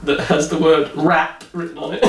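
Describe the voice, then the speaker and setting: A roomy, slightly distant recording carries a man with a fairly high voice. He gives casual comedic commentary as a co-host. His manner is sarcastic and mock-solemn, and he is prone to giggling. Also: fairly bright tone. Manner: giggly, often laughing through speech. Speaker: show co-host. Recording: echoey room, distant mic, clicks and noise.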